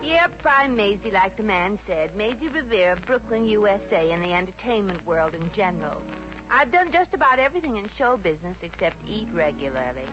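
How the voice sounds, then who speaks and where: An American actress playing a wisecracking Brooklyn showgirl working as a stenographer in a 1950s radio comedy, with a wry, deadpan delivery. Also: mid-range voice, fairly quick, lightly acted. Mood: wry narration. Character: wisecracking Brooklyn showgirl turned office stenographer, brassy and unfazed. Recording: dull vintage radio recording with music.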